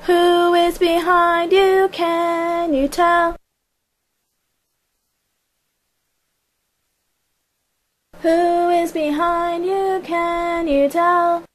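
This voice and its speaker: Woman voicing a cheerful young boy, high-pitched. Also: flat delivery, extremely slow, faint music behind, exaggerated voice. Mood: sing-song. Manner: playful, teasing, sing-song chant. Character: cheerful, friendly elementary-age boy. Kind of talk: amateur fandub voice acting.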